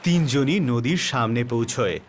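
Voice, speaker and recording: A man's voice: medium pitch, unhurried, over loud music. A man narrating a scripted children's story as the storyteller.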